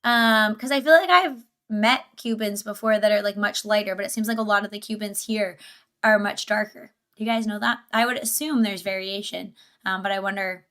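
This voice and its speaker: Bright-voiced woman reacting casually. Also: medium pitch, steady tone, a little fast. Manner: informal, rambling, questioning. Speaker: livestreamer. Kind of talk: casual live reaction commentary.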